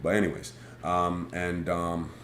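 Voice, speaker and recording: A man with a big, booming voice, hosting a casual live stream and telling a rambling, animated story from his school days. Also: a little slow. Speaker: host. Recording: echoey room with faint background noise.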